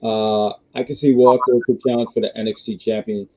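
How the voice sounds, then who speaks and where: A man hosts a live wrestling review stream, talking loosely and often restarting his sentences. His voice is going hoarse, and the sound is dull and lo-fi. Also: on the low side, level tone, brisk. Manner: rambling, restarts, reads viewer chats. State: losing his voice. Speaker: wrestling review show host.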